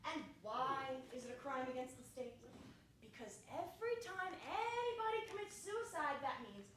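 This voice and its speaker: A woman's voice: sits high, lively intonation, normal speed, a bit bright. A woman acting an officious police sergeant in a stage play, with a declamatory, lecturing delivery. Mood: officious, exasperated. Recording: distant, echoey, noisy stage recording.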